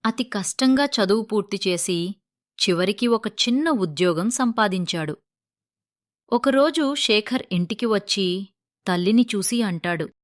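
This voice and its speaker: Casting: woman storyteller for scripted moral tales, mid-range pitch, very dry recording. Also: animated, measured pace, no echo.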